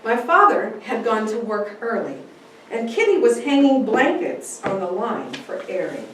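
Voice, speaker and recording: A woman giving a dramatic reading of a memoir, telling it in a deliberate, theatrical way with pauses for effect. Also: a little high, big pitch swings, fairly bright tone. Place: large echoey indoor room. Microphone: distant camera microphone. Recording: very echoey room, distant, faint noise.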